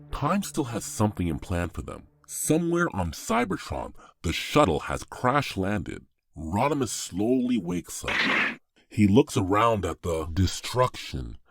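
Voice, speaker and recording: A man's voice: lowish voice, gravelly, slightly rough, no room sound, clean studio sound. A man narrating a scripted audio drama in a solemn, storytelling manner. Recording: prominent music under voice.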